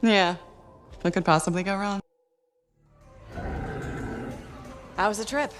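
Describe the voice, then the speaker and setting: A woman's voice: medium pitch, fairly even intonation, fairly quick, slight background noise, natural voice. A woman playing a brave, level-headed mercenary in a video game, speaking in a casual, dry and composed way. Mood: wry. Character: brave, level-headed, dryly humorous female exosuit mercenary. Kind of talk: video game cutscene acting.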